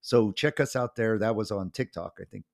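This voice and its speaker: A man's voice: lowish voice, moderate pace, vocal fry. A man hosting a podcast with a cold, talking casually and rambling a bit, with plenty of ums. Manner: relaxed, rambling, many ums. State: has a cold, voice may crack. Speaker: podcast host; pastor and author.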